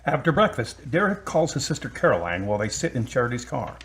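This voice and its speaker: A man's voice: medium pitch, big pitch swings, quick pace, a bit bright, breathy edge. A man reading scripted narration for an audio drama.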